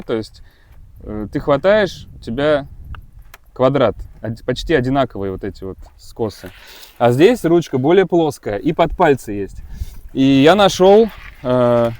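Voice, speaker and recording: A man who teaches kitesurfing talks through a board review in a loose, unscripted way. He stops often to hesitate and restart his thoughts. His voice sits at a medium pitch. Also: a little mellow. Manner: rambling, with many hesitations and restarts. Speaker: kite school instructor and gear reviewer. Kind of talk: casual gear review.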